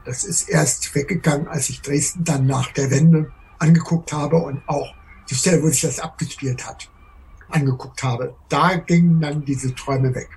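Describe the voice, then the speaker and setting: A man's voice: a little high, fairly even intonation, normal speed, a little mellow, a little hiss or hum, poor audio. An elderly man from Germany speaking reflectively as an interviewee.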